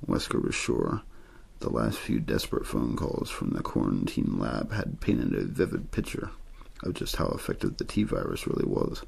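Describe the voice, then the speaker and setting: Male ASMR narrator for horror-novel bedtime reading: extremely low, heavily creaky, husky, understated. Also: huge pitch swings, moderate pace, hardly any pauses, darkish tone. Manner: understated, untheatrical delivery.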